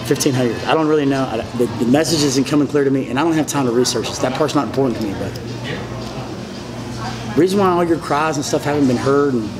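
A man's voice: medium pitch, quick pace, over music, poor audio. A man in his forties telling his story as an interview guest, rambling, emphatic and repetitive, gesturing broadly as he talks. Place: indoor restaurant booth.

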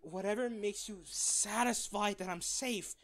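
A man acts out a wealthy lover in a romantic audio drama. His voice sits somewhat high for a male and moves at an unhurried, slow pace. He sounds tender, protective and sincere, often halting as he addresses his partner. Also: a bit bright. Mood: pleading, earnest. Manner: tender, halting, emotionally earnest.

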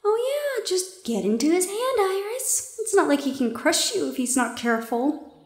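A woman's voice: very high-pitched, sing-song, normal speed, a little mellow, full character voice. A woman playing a shy young gorgon's private thoughts, musing to herself in an audio roleplay, with an echo effect. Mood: nervous self-coaxing. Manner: self-talking, musing. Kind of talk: inner monologue in roleplay audio drama. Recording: echoing voice.

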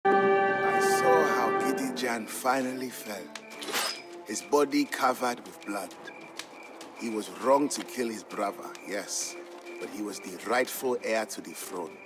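A man voicing a stern, bitter old merchant and war veteran who recounts things gravely for a game story. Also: medium pitch, slow pace, over music, lightly acted.

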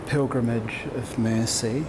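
Man, explanatory vlog commentary, halting and deliberate, handheld camera mic, very noisy background. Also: medium pitch, steady tone. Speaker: vlogger narrating his own walking video. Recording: noisy handheld recording, city traffic and ambience. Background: street and ambient noise.

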